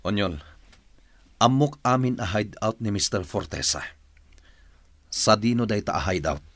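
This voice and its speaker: A man's voice: lowish voice, animated, measured pace, a bit bright, no room sound. A man with a Filipino accent playing a stern, loyal elder brother and leader in a radio drama. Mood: serious, probing.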